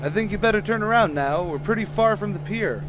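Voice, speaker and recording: A man's voice: sits high, expressive, brisk, noisy background. An actor playing an earnest, naive and bashful bachelor man in old radio sitcom style, a little hesitant. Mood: helpful. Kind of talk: 1940s-50s radio sitcom acting.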